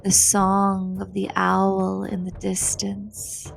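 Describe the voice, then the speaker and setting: A woman leading a guided meditation in a soothing, deliberate, breathy voice, pausing between short phrases. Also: medium pitch, completely flat delivery, low-quality recording. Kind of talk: guided meditation narration, slow and breathy with whispered phrase endings. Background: meditation music.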